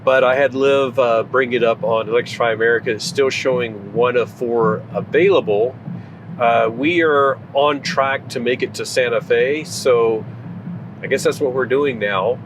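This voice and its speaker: A mid-pitched man in his forties talks casually from the driver's seat of a car at night, picked up by a dashboard camera. He thinks aloud with lots of "uh" and "like", and faint road hum sits underneath. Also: moderate pace. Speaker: vlogger. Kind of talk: casual chat while driving. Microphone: camera mounted on the dashboard.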